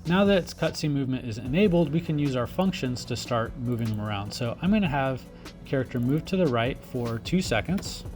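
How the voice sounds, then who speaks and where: A man narrating a software tutorial, informally talking through each step as he works. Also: mid-range voice, animated, brisk, over music. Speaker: tutorial instructor. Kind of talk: screen-recorded software tutorial, step-by-step explanation.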